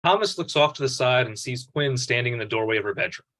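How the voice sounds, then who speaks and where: A man's voice: mid-range voice, lively intonation, fast, a bit bright. A man reading stage directions as scripted narration at a script table read.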